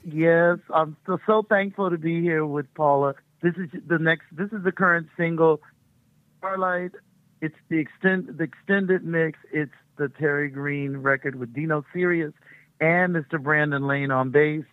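A woman's voice: deep voice, fairly even intonation, a little slow, no echo. A middle-aged woman, a musician guest, talking effusively and laughing often in a casual interview. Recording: tinny phone line.

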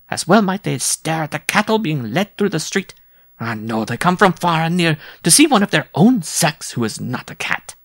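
A scornful wolf woman of the circus sideshow, as a male reader puts her on, high and sharp with lively swings in pitch. Her voice grates with fry and she spits every insult. Dry, close sound. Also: no room sound, full character voice. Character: sharp-tongued, quick-tempered wolf woman.

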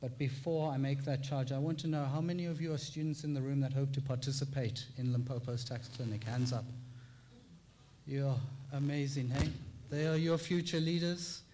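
South African male speaker for a lecture hall: measured, formal delivery, repeats lines for effect, faint room hum behind. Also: medium pitch, steady tone, dark tone. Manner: measured and formal, with rhetorical repetition. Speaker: tax clinic presenter. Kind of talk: conference presentation.

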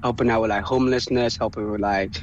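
Halting man from Ghana, flat tone. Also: on the low side, lo-fi sound, a little hiss or hum. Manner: hesitant, many "like" and "um" fillers. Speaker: guest, community fashion organizer. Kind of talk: casual interview.

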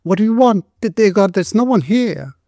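A man voicing a frightened, humble young homeless mother in a storybook, with a shrill, lisping voice and a halting, pleading manner. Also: animated, vocal fry, warm tone, no echo, studio quality, heavily acted. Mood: terrified.

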